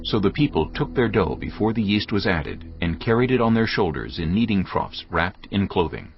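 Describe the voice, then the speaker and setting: A man narrating scripture in a steady, deliberate way. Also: low voice. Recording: dull audio over music.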